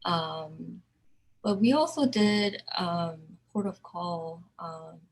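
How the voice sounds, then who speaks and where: Slow, halting woman from South Korea. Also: fairly low pitch, low-quality recording. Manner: halting, searching for words. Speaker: artist. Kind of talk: virtual artist talk.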